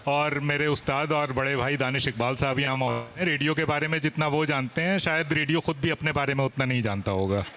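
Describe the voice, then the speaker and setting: Bright-voiced man speaking deferentially. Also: medium pitch, fast talker, few pauses, faint music behind. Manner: deferential, formal. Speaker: audience member. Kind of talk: live remarks at a seminar. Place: live seminar audience.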